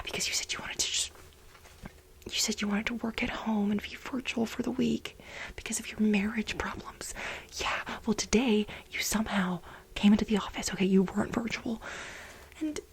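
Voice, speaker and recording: A woman playing a clingy, unhinged millennial office assistant in a breathy, halting, soft-spoken ASMR role play. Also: a little high. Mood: indignant. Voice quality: breathy, whispery, creaky. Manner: halting, dramatic, self-justifying.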